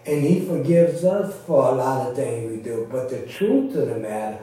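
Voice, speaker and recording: A man preaching informally, explaining a story and its lesson in a halting, conversational way. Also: mid-range voice, animated, mellow. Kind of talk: informal Sunday sermon commentary. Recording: very echoey room, distant microphone.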